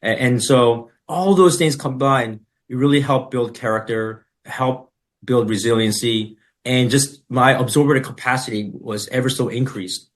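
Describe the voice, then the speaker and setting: A middle-aged man, a podcast guest, telling his story in relaxed conversation, with many fillers and "right?" tags. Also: mid-range voice, unhurried.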